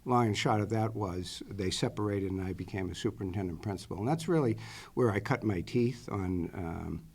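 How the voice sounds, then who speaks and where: Man in his 60s, Boston accent, rambling with lots of uh's, lowish voice, meeting room interview. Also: moderate pace, a little mellow, husky. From Boston area, Massachusetts. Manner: rambling, many "uh" fillers and self-corrections, chatty. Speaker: superintendent candidate. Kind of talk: public interview answer. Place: school committee meeting room.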